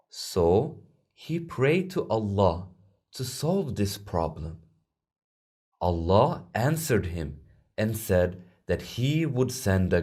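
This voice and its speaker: A man narrating a children's storybook in an expressive, deliberate storytelling manner. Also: lowish voice, husky.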